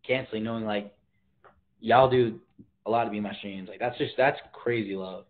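A man's voice: on the low side, steady tone, moderate pace. Slangy teen guy on a tinny phone stream. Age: teens. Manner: slangy, laid-back, reads viewer comments aloud. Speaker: livestream host. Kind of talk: casual livestream chat. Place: bedroom, indoors. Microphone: phone at arm's length.

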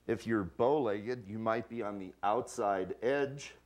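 An older man in his sixties with a somewhat low voice talks through ski boot fitting. He is easygoing and jokey, a studio guest recorded on a lapel mic. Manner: folksy, jokey, unhurried explainer. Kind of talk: explaining with props on a TV morning show. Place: studio kitchen set.

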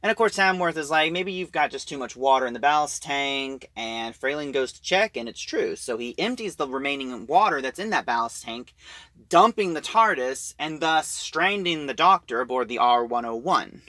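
A man giving a casual, halting recap of a story as a reviewer, in an informal way. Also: fairly high pitch, lively intonation, bright, forceful tone, dry recording.

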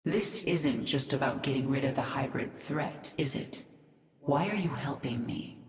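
A woman's voice: rumbling low, unhurried. An actress playing a stern alien-hybrid warrior queen in a video game, cool, curt and commanding. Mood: probing. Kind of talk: video game cutscene and mission acting. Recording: echoey hall-like reverb.

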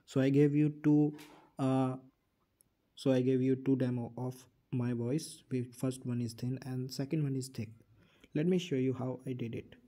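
A man's voice: mid-range voice, fairly even intonation, normal speed, dark tone. A man hosting a casual app tutorial, explaining things plainly step by step and repeating himself. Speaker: tutorial host.

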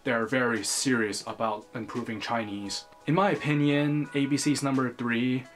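A man's voice: medium pitch, steady tone, moderate pace. An earnest young man from the United States speaks straight to camera in a small bedroom. He is close to the camera, with faint music behind him. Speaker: vlogger. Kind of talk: scripted vlog commentary.

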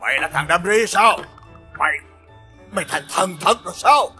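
Brutal cartoon enemy general, high voice, bossy and blustery, swoops all over. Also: male voice, expressive, moderate pace, pushed and bright, soft background music, exaggerated voice. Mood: defiant. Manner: blustering, commanding. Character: brutal, boastful enemy general, adult man, easily enraged. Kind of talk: animated fairy-tale voice acting.

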